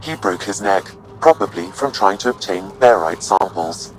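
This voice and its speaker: Low, measured artificial intelligence. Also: male voice, expressive, noisy background. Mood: detached, factual. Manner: measured, factual, formal. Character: calm, analytical artificial intelligence assistant, male. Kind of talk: video game voice acting.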